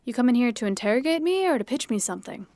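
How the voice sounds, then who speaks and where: A woman's voice: on the high side, lively intonation, rapid-fire, a little mellow, dry recording. An actress playing a stern, commanding female editor-in-chief in an audio drama. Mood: dry. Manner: cold, firm, commanding.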